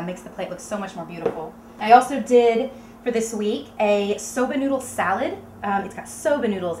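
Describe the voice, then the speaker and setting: A woman in her thirties from Oklahoma, cheerfully talking a home cooking demo to camera in her kitchen. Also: mid-range voice. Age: 30s. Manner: chatty, bubbly, explains as she cooks. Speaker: home cook auditioning for a cooking show. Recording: echoey kitchen with faint room noise.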